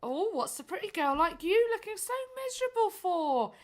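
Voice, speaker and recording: A woman plays a nosy washerwoman in a musical radio play. Her high voice is chatty and folksy, with a world-weary edge. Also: sing-song, moderate pace. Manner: folksy, gossiping.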